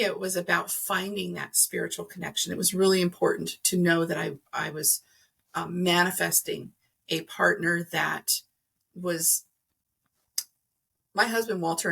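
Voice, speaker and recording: A woman's voice: fairly low pitch, gravelly, a bit bright, husky. A middle-aged woman explaining things conversationally as an interview guest, earnest and emphatic, acting out imagined remarks. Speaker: podcast guest, energy healer.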